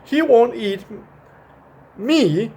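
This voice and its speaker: A man voicing an imaginative, earnest little boy in a halting storybook read-aloud. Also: very high-pitched, lively intonation, vocal fry, a little mellow, breathy edge, pitched above the performer's own voice, full character voice. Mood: earnest, playful.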